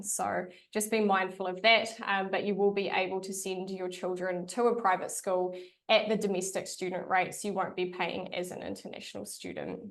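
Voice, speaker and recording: Woman, new zealand accent, gravelly, explanatory, chatty adviser. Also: mid-range voice. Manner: chatty, explanatory, frequent ums. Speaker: immigration adviser. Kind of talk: informational video interview.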